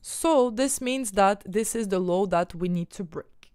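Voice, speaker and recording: A woman's voice: medium pitch, measured pace, darkish tone, clean tone, no echo, clean studio sound. A young woman from Ukraine with a mixed French and Ukrainian accent, explaining trading charts on a live stream and answering viewers' questions. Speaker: trading educator.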